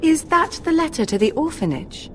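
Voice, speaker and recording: A woman's voice: high voice, very animated, moderate pace, faint music behind. An actress playing a shrewd young noblewoman in a fantasy game, refined and arch in manner. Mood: breezy, exasperated. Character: poised, shrewd young highborn lady. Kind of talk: dramatic video game acting.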